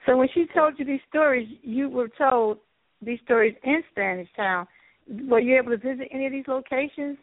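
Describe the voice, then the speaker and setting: Woman, radio host, brisk questions, dry, phone-like sound. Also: sits high, dry recording. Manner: brisk questions, short prompts. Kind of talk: radio interview.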